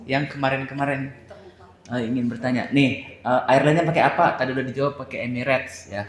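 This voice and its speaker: Indonesian male moderator, mid-pitched, jovial and teasing, casual Q&A in an echoey room. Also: fairly even intonation. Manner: jovial, teasing, quick to chuckle. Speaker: moderator of a tour briefing. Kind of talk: casual group Q&A.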